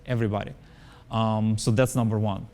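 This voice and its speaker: A man's voice: lowish voice, fairly even intonation, fairly quick, a little mellow. A man who speaks English as a second language, answering interview questions as a company founder in an emphatic way, repeating his points and checking with "right?". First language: not English.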